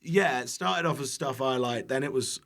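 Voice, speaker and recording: British male podcast guest, food creator type. Casual, jokey, self-deprecating, happy to swear, mid-pitched. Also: normal speed, creaky, fairly bright tone. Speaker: podcast guest, online cooking video creator. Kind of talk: casual chat.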